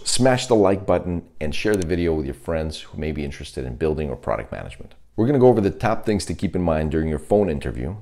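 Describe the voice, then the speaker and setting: A man in his thirties with an extremely low-pitched voice speaks as a career coach. He delivers instructional advice straight to camera and puts deliberate emphasis on key words. He is miked with a lapel microphone in a small indoor room. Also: dark tone. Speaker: host, product management career coach. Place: small indoor room, plain dark backdrop. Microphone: lapel mic.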